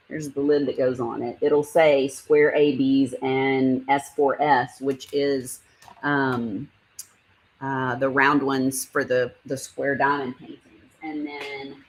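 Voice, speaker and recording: A woman's voice: low-pitched, fairly bright tone, slight background noise, low-quality recording. A woman hosting a casual livestream, rambling about her craft supplies and reading viewer comments aloud. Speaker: livestream host.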